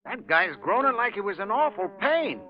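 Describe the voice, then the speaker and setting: Gabby gangster lackey from old radio, highish, swingy pitch, muffled dry recording. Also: male voice, big pitch swings, moderate pace. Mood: impressed, eager. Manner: slangy, folksy gangster talk. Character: eager, chatty, uneducated henchman to mad doctor. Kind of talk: 1930s radio crime acting.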